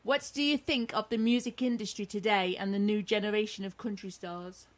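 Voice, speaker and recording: A British woman hosts a casual interview in a relaxed, conversational way. Her voice sits at an ordinary middle pitch for a woman. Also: fairly quick. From Britain. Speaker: interview host.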